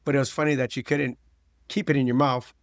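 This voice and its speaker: A man's voice: medium pitch, fairly even intonation, brisk, no room sound, clean studio sound. A man hosting a podcast with his daughter, chatting loosely and joking with many fillers. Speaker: podcast host. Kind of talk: casual chat.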